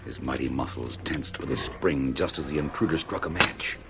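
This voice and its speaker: A man's voice: rumbling low, normal speed, very noisy. A man narrating an old-time radio drama in a solemn, suspenseful, resonant voice. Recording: dull vintage radio recording. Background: dramatic music.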